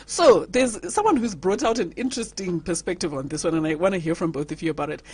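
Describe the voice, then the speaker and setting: A woman's voice: fairly low pitch, expressive, fast talker, darkish tone, slightly rough, no room sound. A woman hosting a radio panel discussion, reading out listener messages and laughing often. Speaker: radio talk show host.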